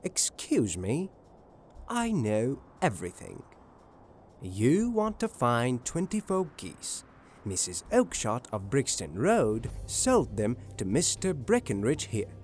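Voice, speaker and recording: A man playing a confident, shrewd detective in a dramatised audiobook, polite, measured and knowing. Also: medium pitch, huge pitch swings, darkish tone, faint music behind, full character voice. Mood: assured, knowing.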